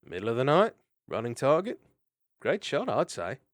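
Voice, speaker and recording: A jovial, teasing young mouse warrior, as a man puts him on, a bit brighter than the reader's own tone. His speech lifts and falls freely, and the storybook recording is close and dry. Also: mid-range voice, wildly swooping pitch, normal speed, dry recording, voice pushed up. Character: easygoing, joking young male mouse warrior.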